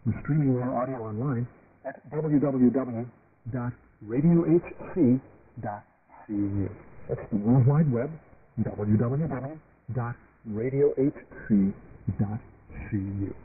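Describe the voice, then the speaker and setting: A man's voice: medium pitch, slow, narrow, tinny audio. A man reading scripted news as a radio presenter, heard over a shortwave broadcast. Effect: shortwave radio transmission. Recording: noisy band-limited shortwave radio broadcast.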